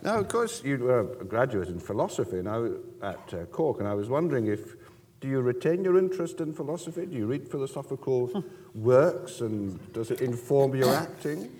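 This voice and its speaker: A man's voice: medium pitch, sing-song, normal speed, a little mellow, husky. A man interviewing an actor onstage, courteous and attentive. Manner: courteous, attentive, frequent murmured assent.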